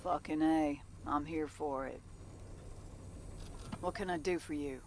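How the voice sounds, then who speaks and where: A woman's voice: takes it slowly, slight background noise. An actress playing a guarded, war-weary woman mech pilot in a video game, speaking in a terse, dry way. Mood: friendly, wry. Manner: terse, low-key, dry. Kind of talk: video game cutscene acting.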